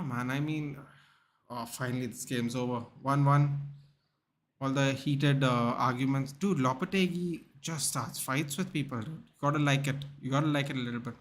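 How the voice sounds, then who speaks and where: A young man with an Indian English accent from Goa, hosting a casual livestream and chatting with viewers by name, full of restarts and "dude" and "man". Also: mid-range voice. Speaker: livestream host. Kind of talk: casual livestream chat reading out viewer comments.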